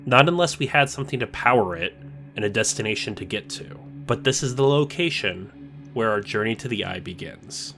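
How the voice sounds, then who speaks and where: A man narrating a scripted video essay in a measured, explanatory way. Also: fairly low pitch, lively intonation, sharp tone, faint music behind.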